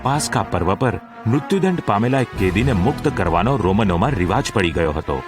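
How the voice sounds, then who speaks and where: A man's voice: on the low side, very animated, a little fast. A man narrating a scripted, dramatic Bible story as the narrator of an audio drama. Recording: music behind the narration.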